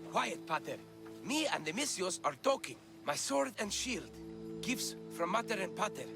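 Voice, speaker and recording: A man's voice: high-pitched, sing-song, moderate pace, vocal fry, over music, full character voice. An actor playing a deluded, pompous young man of ancient Greece in a video game, melodramatic and self-important. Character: pompous, deluded young son, mock-ferocious, prophecy-obsessed. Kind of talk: video game cutscene acting.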